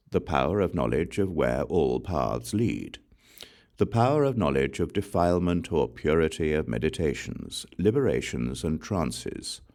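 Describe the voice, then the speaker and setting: A man reading scripture as a scripted audiobook, in a measured, solemn recitation with deliberate pauses between phrases. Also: sits low, gravelly, a little mellow. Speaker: audiobook reader.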